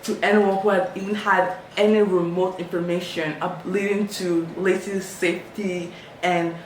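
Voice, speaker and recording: A woman hosting a podcast, telling a true-crime story in a casual, animated way and quoting people in a raised voice. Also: lowish voice, normal speed. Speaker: podcast host. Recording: echoey room from far off with faint noise.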